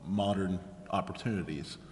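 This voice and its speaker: A man's voice: deep voice, moderate pace, a little mellow. A man talking informally in a discussion at a public council meeting. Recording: reverberant hall, faint background noise.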